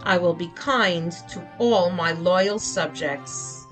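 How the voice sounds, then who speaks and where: Storybook child played by a woman reader: eager, imaginative girl, slow dramatic delivery. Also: fairly low pitch, faint music behind. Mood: excited, imaginative. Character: young girl, imaginative, eager to play pretend.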